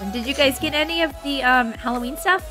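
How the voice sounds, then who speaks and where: A woman hosting a gaming channel, chatting playfully with friends as she plays, a little hoarse. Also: high voice, fast talker, bright, forceful tone. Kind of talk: casual gameplay commentary with friends. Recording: faint game music behind. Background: faint game music.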